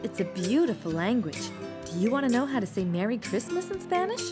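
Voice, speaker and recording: A woman voicing a gentle, patient young mother who explains things encouragingly in a preschool cartoon. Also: fairly high pitch, sing-song, brisk, over loud music. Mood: patient, bright.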